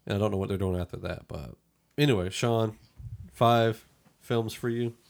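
A man's voice: on the low side, normal speed, creaky. A man hosting a casual film discussion podcast, talking in a conversational, opinionated way. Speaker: podcast host.

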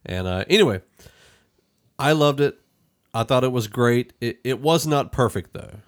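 A man's voice: medium pitch, animated, normal speed. A man hosting a podcast in a casual, rambling, informal group chat about a film. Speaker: podcast host.

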